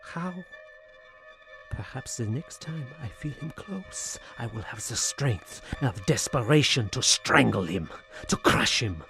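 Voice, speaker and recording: A man's voice: medium pitch, vocal fry, a bit bright, exaggerated voice. An actor playing a tormented, feverish Frenchman slipping into madness in an audio horror drama, with brooding, formal, theatrical diction. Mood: obsessed, desperate.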